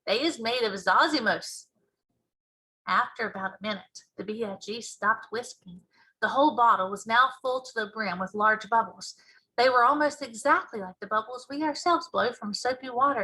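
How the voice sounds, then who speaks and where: A woman's voice: fairly high pitch, sharp tone, husky, poor audio. A woman narrating a storybook as a teacher reading aloud.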